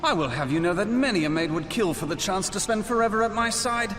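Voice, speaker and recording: Bright, high-voiced gallant swashbuckler. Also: male voice, animated, fast talker. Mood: teasing bravado. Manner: theatrical, teasing, courtly. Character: gallant, flirtatious swashbuckling male warrior, wry under fire. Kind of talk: dramatic video game voice acting. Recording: echoey hall, music behind.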